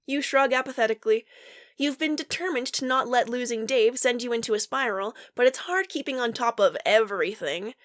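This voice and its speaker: A woman's voice: on the high side, fairly quick, a bit bright. A woman reading fan fiction aloud as a narrator, in a scripted storytelling style.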